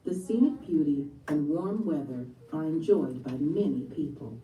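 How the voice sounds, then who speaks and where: A woman reading scripted educational text aloud as a textbook narrator, in a measured and enunciated manner. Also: sits low, animated, mellow, a little hiss or hum. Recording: played back in a room, distant, echoey.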